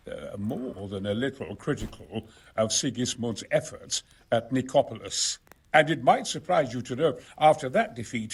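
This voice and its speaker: An actor playing a genial, self-important exiled engineer in a video game, talking in a wry, hesitant, gentlemanly way. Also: male voice, mid-range voice, slightly rough. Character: genial, erudite exiled male engineer, self-important about his opus. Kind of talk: video game cutscene acting.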